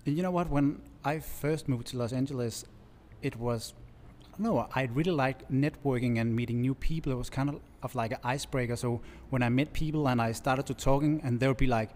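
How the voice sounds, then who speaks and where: A man in his thirties from Denmark with a Danish accent, chatting as a guest artist in a relaxed, halting way. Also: medium pitch, long reverb tail. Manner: relaxed, halting, laughs easily. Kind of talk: casual interview chat. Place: large bright studio, indoors. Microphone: stand mic with headphones.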